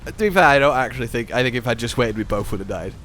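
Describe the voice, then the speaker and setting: A man in casual, playful gameplay banter as a co-host, excitable and given to swearing. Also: mid-range voice, racing, a little hiss or hum. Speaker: co-host of a gaming video.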